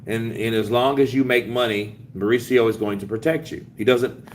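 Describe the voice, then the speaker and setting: A man's voice: mid-range voice, fairly even intonation, moderate pace, slight background noise. An American man hosting a casual live-stream boxing chat, talking loosely and repeating himself to make his point. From United States. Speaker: boxing commentary host reading live chat. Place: after leaving the gym.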